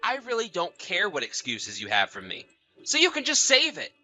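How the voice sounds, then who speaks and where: A man's voice: high-pitched, huge pitch swings, moderate pace, bright, forceful tone, soft background music, heavily acted. A man playing a betrayed husband in an acted audio drama, bitter, sarcastic and accusatory. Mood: angry, accusing. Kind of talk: acted audio story dialogue and narration.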